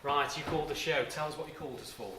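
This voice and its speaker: A man's voice: on the high side, quick pace, echoey room, distant mic, crowd noise, close to an everyday voice. A young man from the English Midlands, playing a bossy talk show host with upbeat presenter patter. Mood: chirpy. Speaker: talk show host in a youth-made short film.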